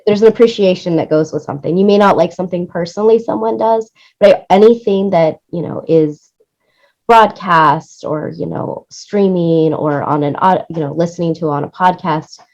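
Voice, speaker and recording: A woman's voice: fairly low pitch, moderate pace, darkish tone, dull audio. A woman speaking as an interview guest, explaining things earnestly in a casual conversational style and often checking in with "right?". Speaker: interview guest, music supervisor and producer.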